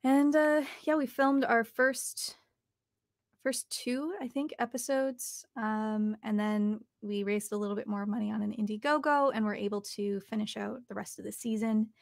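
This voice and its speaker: A woman's voice: a little high, steady tone, normal speed, creaky, dry recording. A young adult woman answering questions in a conversational interview, recorded close up at home. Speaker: actor and voice artist being interviewed. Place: home recording studio, indoors.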